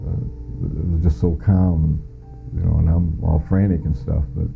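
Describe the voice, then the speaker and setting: Very low-voiced American man, gravelly. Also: little pitch movement, unhurried, faint music behind. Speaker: former air traffic controller giving witness testimony. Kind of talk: recounting first-hand testimony in an interview. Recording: muffled lo-fi audio.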